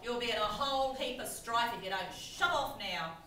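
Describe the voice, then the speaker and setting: A woman's voice: on the high side, animated, normal speed, pushed and bright. A woman playing a brusque, bossy pub landlady with a curt, scolding manner in an amateur comic puppet show. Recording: echoey room from far off, noisy.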